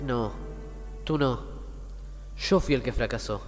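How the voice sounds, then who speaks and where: A man's voice: mid-range voice, slow, natural voice. Guy acting young hero in radio drama, guilty and earnest, pleading, big reverb, music under. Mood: despairing. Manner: pleading, self-blaming. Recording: long reverb with music behind.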